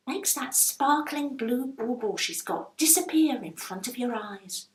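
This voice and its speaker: A woman's voice: fairly high pitch, expressive, unhurried, roomy sound, voice pushed up, poor audio. A woman voicing a chatty, blunt working-class London landlady in a children's storybook. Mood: guilty, fond. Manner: chatty, blunt, colloquial non-standard grammar.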